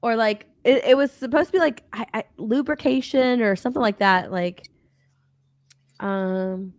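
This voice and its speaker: A woman's voice: a little high, moderate pace, no echo. Giggly, rambling woman from Texas. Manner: rambling, giggly, trails off and restarts. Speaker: co-host. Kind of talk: casual chat on a stream.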